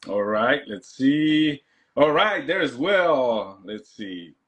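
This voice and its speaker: A man's voice: mid-range voice, very animated, drawn-out words, lo-fi sound. A man hosting a live-stream interview, often repeating words and speaking to viewers as he asks questions. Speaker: host.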